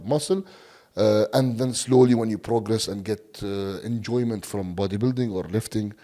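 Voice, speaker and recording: A man whose first language is Arabic, a bodybuilding coach talking as a podcast guest, halting with many fillers. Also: lowish voice, mellow. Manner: hesitant, many fillers and restarts. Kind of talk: casual podcast interview.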